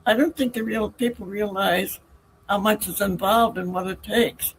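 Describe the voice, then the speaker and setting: A woman's voice: mid-range voice, fairly even intonation, normal speed, a little hiss or hum, rough recording. Enthusiastic woman podcast co-host. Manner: warm and enthusiastic, with hesitations. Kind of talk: casual interview hosting.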